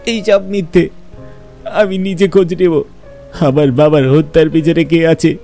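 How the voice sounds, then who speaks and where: Male actor as defiant young heir, horror cartoon. Very high for a man, gravelly, dark-toned, insistent. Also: moderate pace, soft background music, lightly acted. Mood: defiant, upset. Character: grieving, defiant young landlord's son from the city. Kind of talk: cartoon horror drama acting.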